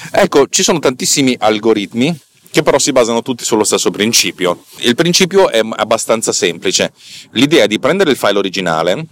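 A man's voice: mid-range voice, big pitch swings, fairly quick, fairly bright tone. A man, a little tired, explaining technical matters as a podcast host in an informal, digressive way, often repeating and correcting his words. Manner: informal, digressive, slightly stammering with word repetitions and self-corrections; checks in with listeners using rhetorical questions. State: a bit tired. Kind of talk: casual explanatory tech monologue.

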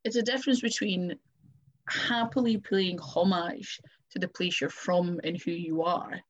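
A woman's voice: lowish voice, fairly even intonation, measured pace. Chatty Scottish woman, lo-fi audio. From Scotland. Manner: chatty, acts out quoted exchanges. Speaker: wrestler and podcast guest. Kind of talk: casual chat.

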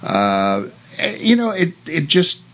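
An older man hosting a radio show in casual talk, often halting and repeating his words. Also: on the high side, big pitch swings, a bit bright, highs cut off. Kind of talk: casual talk about old-time radio.

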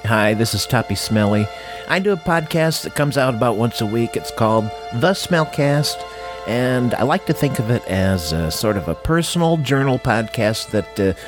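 Halting podcaster man over music. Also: medium pitch, expressive. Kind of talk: comic podcast promo.

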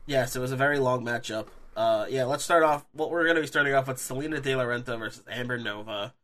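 A man's voice: medium pitch, quick pace, fairly bright tone. A man hosting a casual podcast while sick with a cold, sniffly and with a scratchy throat, talking energetically with many fillers. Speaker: podcast host. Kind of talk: casual wrestling podcast chat.

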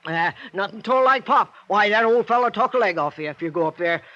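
High-pitched, folksy rural old-timer. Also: male voice, lively intonation, a little fast, sharp tone, dry recording. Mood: gossipy. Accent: rural American. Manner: rambling folksy drawl with hems and coughs. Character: talkative rural old-timer Jake, folksy, opinionated station keeper. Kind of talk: 1950s radio drama acting. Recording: dull vintage 1950s radio recording.

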